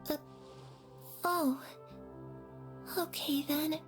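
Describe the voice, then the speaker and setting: A woman voicing a candid, trusting young girl in a video game, with a slow, artless, childlike delivery. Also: high-pitched, steady tone. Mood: trusting, curious. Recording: music under the voice.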